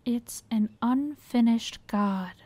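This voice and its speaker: An actress playing a soft-spoken, mysterious goddess in an audio drama, with a measured, hushed, gentle and eerie delivery. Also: female voice, fairly high pitch, dry recording. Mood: calm, beckoning.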